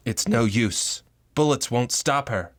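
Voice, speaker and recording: A man's voice: mid-range voice, slow pace, heavy background noise. A man narrating a horror story in the second person, theatrical and ominous. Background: music.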